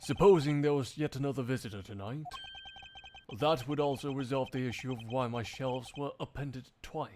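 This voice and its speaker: A man's voice: mid-range voice, darkish tone, faint music behind, close to an everyday voice. Man voicing a composed, analytical prosecutor. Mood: measured, reasoning. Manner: formal, deliberate. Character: composed, analytical male prosecutor reasoning aloud. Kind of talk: amateur game fandub.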